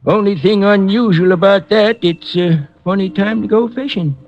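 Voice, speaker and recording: A man playing a terse, deadpan old boat landing keeper in a radio drama. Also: high-pitched, normal speed, lightly acted. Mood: laconic. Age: older. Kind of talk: 1950s radio acting. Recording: dull old radio recording.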